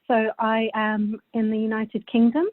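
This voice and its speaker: A woman's voice: on the high side, completely flat delivery, a little slow, no room sound, narrow, tinny audio. A thirty-year-old woman from Bulgaria who moved to England as a child, chatting casually as a podcast guest. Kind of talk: casual interview chat.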